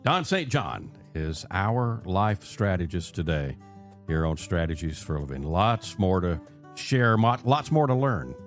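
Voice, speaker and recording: Older male radio host, low-pitched voice. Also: very animated, measured pace, soft background music. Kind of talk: radio hosting, conversational interview.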